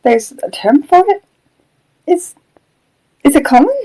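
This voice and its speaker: A woman playing a gentle, troubled animatronic fox who was once human, speaking hesitantly and reflectively in an audio drama. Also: high voice, expressive, measured pace, low-quality recording. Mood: subdued, unsure.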